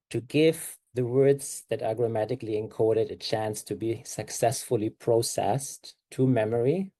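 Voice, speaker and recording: A man's voice: fairly low pitch, mellow. A man with a German accent, a native German speaker, giving a conference talk with slides in an explanatory way, with frequent fillers and self-corrections.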